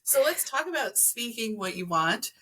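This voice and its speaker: A woman's voice: on the high side, sing-song, normal speed, bright, forceful tone, slightly rough, low-quality recording. A woman speaking as a podcast guest, explaining things conversationally and rephrasing as she thinks aloud. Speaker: podcast guest, energy healer. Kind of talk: conversational explaining of crystals and chakras.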